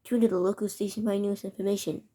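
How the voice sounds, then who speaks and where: A woman's voice: mid-range voice, fairly even intonation, warm tone. A woman reading a homemade emergency alert broadcast, stumbling and restarting often. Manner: halting, stumbling over words. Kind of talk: amateur mock emergency broadcast narration.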